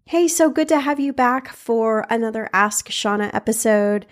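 Hoarse woman podcast host, froggy after a cold, chatty and upbeat. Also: on the high side, normal speed, faint music behind. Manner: chatty, laughs between points. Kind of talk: casual solo podcast talk.

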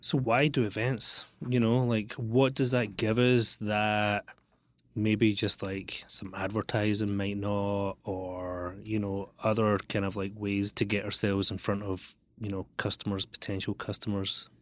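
A man's voice: fairly low pitch, level tone, a little slow. A man hosting a podcast, asking long, loose questions peppered with "like" and "you know". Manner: rambling questions full of likes and you-knows. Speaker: podcast host. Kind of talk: casual interview questions.

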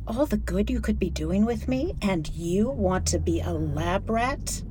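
An actress plays a narcissistic, wealthy mother of middle age in an audio drama. She is haughty and needling, with a slightly low voice and a controlling, guilt-tripping way of talking. Vehicle and terminal ambience sits behind her. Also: animated, measured pace, background noise, natural voice. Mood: needling, disapproving.

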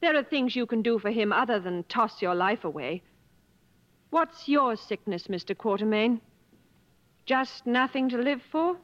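A strong-willed, wealthy lady in an old radio drama. Her voice sits on the high side, and she speaks formally and crisply, ready to challenge. The broadcast sound is somewhat dull. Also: lively intonation, measured pace, a bit bright, long reverb tail. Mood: indignant. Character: proud, strong-willed, wealthy woman on safari. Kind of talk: 1950s radio drama acting.

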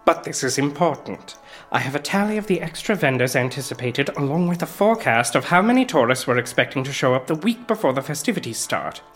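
An earnest guard captain in an audio drama, with a deep voice and lively intonation. She is formal and deferential yet insistent, over soft music. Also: fast talker. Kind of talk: scripted audio drama acting.

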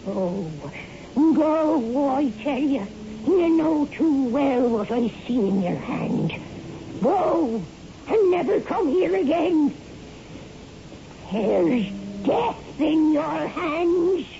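A woman's voice: sits high, big pitch swings, warm tone, full character voice. An actress playing an old fortune teller, a humble yet foreboding grandmother, speaking portentously in a 1960s radio drama. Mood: fearful, commanding. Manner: slow, portentous. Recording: dull, noisy old radio recording.

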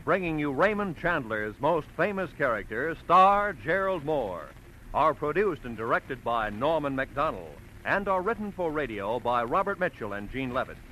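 A man's voice: on the high side, sharp tone, slight background noise, poor audio. An American man announcing in a polished, emphatic 1940s radio style, reading scripted promos and credits. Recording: vintage radio broadcast with music behind. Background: music.

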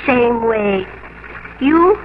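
A high-voiced innocent young jungle girl speaks slow, careful English. The old radio drama recording is muffled and noisy. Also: animated. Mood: tender, coy. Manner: halting, careful foreign speech.

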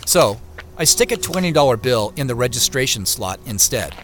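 A man's voice: mid-range voice, sing-song, normal speed, a bit bright, a little hiss or hum. An actor playing a wry, beleaguered office man on the run, narrating his own audio drama in the first person with understated, muttered asides. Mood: wry, weary narration. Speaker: actor narrating as main character.

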